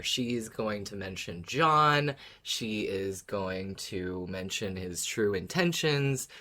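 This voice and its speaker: Androgynous voice, slow pace, deliberate emphasis, dry manner, casual podcast guest. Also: medium pitch, a bit bright.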